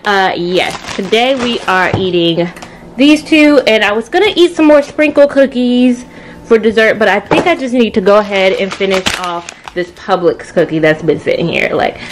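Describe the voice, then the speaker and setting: Casual American woman vlogger, noisy room recording. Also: mid-range voice. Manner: informal, slangy, conversational. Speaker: food vlogger. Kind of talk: casual chat to camera, food review. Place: home dining area and kitchen, indoors.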